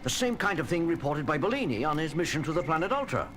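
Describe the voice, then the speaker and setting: A man acting in an audio drama, playing an excitable scientist on a space base. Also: fairly high pitch, fast, noisy background. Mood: amazed. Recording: loud music and engine effects behind.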